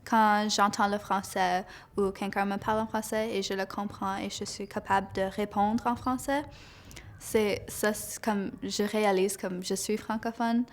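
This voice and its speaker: A woman's voice: mid-range voice, steady tone, a little slow. A young woman, answering casually in an interview in colloquial speech. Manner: colloquial, peppered with "comme".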